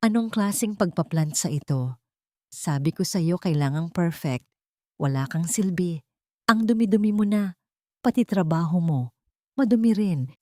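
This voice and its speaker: A woman's voice: fairly low pitch, normal speed, pauses often, warm tone, no echo, studio quality, lightly acted. A woman voicing a haughty, cruel rich bride-to-be who scornfully orders her servant around, mixing in English. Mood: contemptuous. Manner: scornful, commanding, mixes English.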